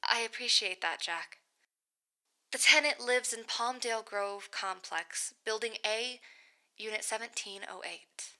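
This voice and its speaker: Sharp, deliberate woman boss. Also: fairly high pitch. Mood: grave, restrained. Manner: formal, measured. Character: grave, guarded female manager at a shadowy company. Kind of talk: audio drama acting.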